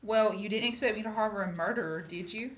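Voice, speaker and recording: A woman's voice: medium pitch, quick pace, lightly acted. A woman playing a haughty young lady train passenger in a radio play. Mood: accusing. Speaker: student actor.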